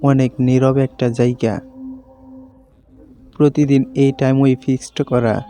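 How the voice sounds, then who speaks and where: A man's voice: mid-range voice, level tone, a little slow, warm tone, faint music behind. A man narrating a scripted horror story for a cartoon.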